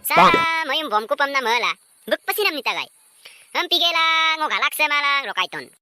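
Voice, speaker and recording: Woman voicing a high, bright cheeky cartoon schoolkid. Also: big pitch swings, moderate pace, faint music behind, full character voice. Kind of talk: cartoon comedy dialogue.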